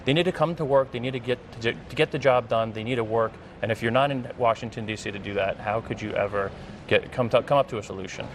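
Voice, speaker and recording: A man's voice: mid-range voice, fast talker, slight background noise. A middle-aged man with an American accent answering earnestly as a guest in a news interview, repeating phrases for emphasis. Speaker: interview guest, congressional candidate and former air traffic controller.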